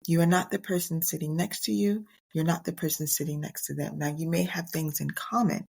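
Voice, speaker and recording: A middle-aged woman hosting a motivational podcast on her own, speaking earnestly and emphatically in short, deliberate phrases. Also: deep voice, steady tone, creaky, darkish tone, husky. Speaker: podcast host. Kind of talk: motivational solo podcast talk.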